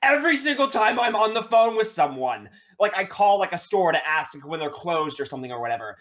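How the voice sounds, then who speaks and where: A man with a high voice often mistaken for a woman's, chatting casually and rambling on a podcast. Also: sing-song, a little fast, a bit bright. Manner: rambling, filler-heavy. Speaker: podcast co-host. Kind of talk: casual chat.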